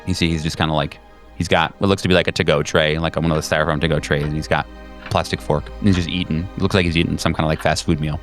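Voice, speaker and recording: A man narrating a tabletop horror game as game master, informally, in halting phrases with repeated words. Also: rumbling low, little pitch movement, soft background music.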